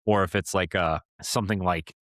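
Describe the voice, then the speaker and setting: Man, low-pitched, podcast host, casual discussion, rambling, frequent check-ins, dry close sound. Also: fairly even intonation, moderate pace, fairly bright tone, no room sound.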